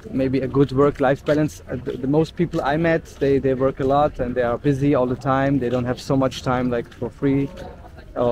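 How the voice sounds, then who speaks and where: A man from Austria, a German native speaker, hosting a casual interview with a friend, quick to affirm with "yeah" and "mm-hmm". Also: medium pitch, noisy background. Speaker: travel vlogger host.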